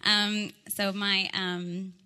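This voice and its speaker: Bright young woman in a reverberant hall. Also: mid-range voice, little pitch movement, drawn-out words, smooth. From Kazakhstan, Central Asia. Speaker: prayer ministry leader being interviewed. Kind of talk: informal personal testimony. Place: church gathering in a reverberant room.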